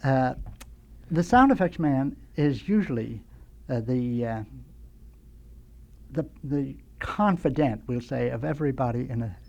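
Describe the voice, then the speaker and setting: An older American man, a veteran radio sound effects man, tells nostalgic anecdotes as a studio guest in a rambling, halting way. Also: mid-range voice, animated, vocal fry, darkish tone, muffled recording.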